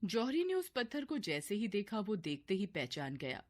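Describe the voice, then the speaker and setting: Expressive woman storyteller. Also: medium pitch, normal speed. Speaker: storyteller narrator. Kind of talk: scripted story narration. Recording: faint music behind the voice.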